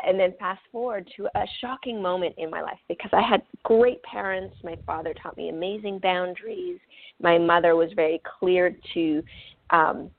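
A woman's voice: on the low side, creaky, dry recording. A French-Canadian woman, French her first language, telling her life story candidly as a radio guest. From French-speaking Canada. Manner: earnest and candid, with fillers. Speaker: guest speaker and author. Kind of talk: personal life story in an interview. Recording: tinny phone-line call, dry.

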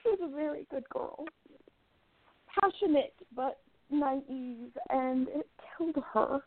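A woman plays a 1920s woman columnist in a radio drama. Her voice is on the high side, young and earnest, a little theatrical. It sounds thin, as if down a phone line. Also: slow pace, no echo. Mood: grieving. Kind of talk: radio play acting.

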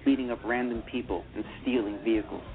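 A man's voice: medium pitch, quick pace, faint music behind. A man narrating a novel aloud on a radio play, reading steadily and often correcting himself. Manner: reads steadily, with frequent self-corrections. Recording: tinny phone-line radio.

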